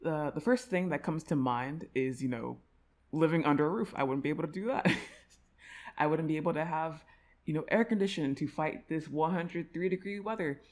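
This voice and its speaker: Low-voiced woman chatting casually. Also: moderate pace, a little mellow. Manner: effusive, rambling. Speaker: audio roleplay creator. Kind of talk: casual, informal outro chat to listeners.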